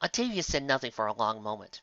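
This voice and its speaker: A reader narrating fan fiction aloud in an expressive storytelling manner. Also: medium pitch, quick pace, dry recording, muffled recording.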